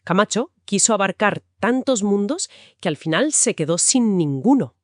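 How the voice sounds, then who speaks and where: A woman's voice: mid-range voice, expressive, normal speed, no echo, studio quality. A woman co-hosting a book discussion, giving emphatic, conversational literary commentary.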